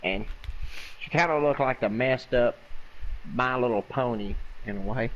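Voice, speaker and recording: A man telling an improvised comic bedtime story in a casual, halting way, often repeating and rephrasing himself. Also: mid-range voice, fairly bright tone. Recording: dull lo-fi audio with faint noise.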